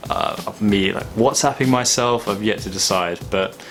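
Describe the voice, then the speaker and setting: A young man talking casually and reflectively in a vlog, thoughtful and halting with long pauses. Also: lowish voice, a bit bright, soft background music. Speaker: vlogger.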